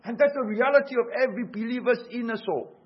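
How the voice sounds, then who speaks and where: A man's voice: extremely high pitch, quick pace, breathy edge, muffled recording. A man from Singapore with a Singaporean English accent, preaching a sermon earnestly and urgently, asking rhetorical questions.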